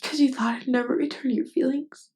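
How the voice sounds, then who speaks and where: A woman acting a tender, lovestruck best friend in an intimate ASMR roleplay, speaking in a halting, earnest, vulnerable way. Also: high-pitched, steady tone, gravelly, a little mellow. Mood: tearful, frustrated. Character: tender, lovestruck female best friend confessing her feelings. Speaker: voice actress.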